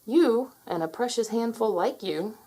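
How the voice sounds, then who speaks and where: A woman voicing a patient, wry male magic dragon guide in a children's storybook, theatrical and gently teasing. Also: fairly high pitch, lively intonation, unhurried, breathy edge, voice pushed up, rough recording. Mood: gentle, encouraging. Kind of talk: children's storybook character read aloud.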